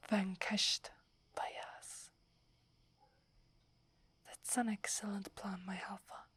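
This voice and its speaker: Whispering young werewolf warrior played by an Italian-accented woman. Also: mid-range voice. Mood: confident, devoted. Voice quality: soft breathy whisper. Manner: slow, hushed, deferential. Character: young female werewolf warrior, devoted, humble, obedient. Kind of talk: ASMR roleplay.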